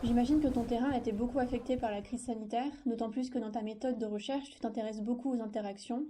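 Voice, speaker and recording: A woman's voice: a little high, fairly even intonation, quick pace, warm tone, a little hiss or hum. A woman co-hosting a podcast, delivering scripted narration and interview questions.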